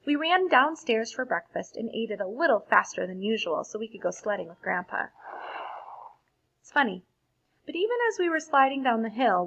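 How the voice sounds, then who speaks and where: A woman reading a storybook aloud expressively for children, like a teacher pausing to explain things to young listeners. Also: on the high side, creaky, bright, forceful tone, husky, muffled recording.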